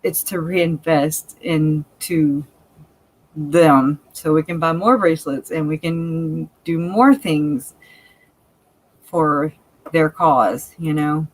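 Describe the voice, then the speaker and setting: A woman's voice: low voice, steady tone, takes it slowly, rough recording. A woman with a country-sounding Cajun accent, co-hosting a casual live chat, talking candidly and laughing often. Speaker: livestream co-host.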